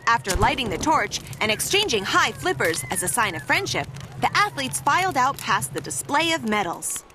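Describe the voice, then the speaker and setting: A woman reading a children's picture book aloud in an animated, theatrical manner with dramatic emphasis. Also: a little high, normal speed, noisy background. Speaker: storybook reader.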